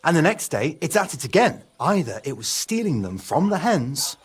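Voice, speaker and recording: A man's voice: on the high side, sing-song, moderate pace. An actor playing a wary, superstitious village man in a medieval game, telling things in a folksy, hesitant way. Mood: confiding. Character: wary, superstitious male medieval villager who keeps hens. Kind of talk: dramatic game dialogue acting.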